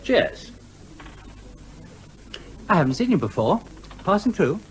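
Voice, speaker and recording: Very animated pub landlord. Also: male voice, high-pitched, slow, slight background noise, heavily acted, rough recording. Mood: friendly. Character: friendly, chatty English village pub landlord. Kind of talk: radio comedy drama acting.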